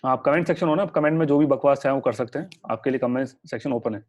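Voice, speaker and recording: A man's voice: medium pitch, rapid-fire, a little mellow. A young man teaching a live online class with a sore throat, chatty and reassuring, mixing English words into Hindi. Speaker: online exam-prep teacher.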